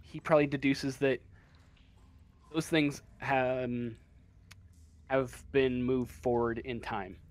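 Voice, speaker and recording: Slow, halting man as game master. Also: mid-range voice, fairly even intonation. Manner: halting, hesitant, searching for words. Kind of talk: improvised tabletop game narration.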